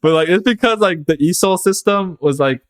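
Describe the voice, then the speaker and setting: A man's voice: high-pitched, brisk, no echo. A young man chatting casually as a podcast guest, rambling with many fillers and laughs. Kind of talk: casual interview chat.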